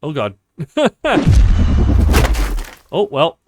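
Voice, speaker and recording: A man's voice: fairly high pitch, huge pitch swings, very slow, over loud music. A man hosting a let's play, reacting casually to the game as he goes.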